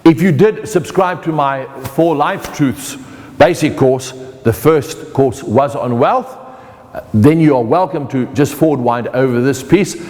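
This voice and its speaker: A man's voice: medium pitch, measured pace, a little mellow, long reverb tail. A middle-aged man with a South African accent, teaching an online motivational course in a playful way that speaks straight to the viewer.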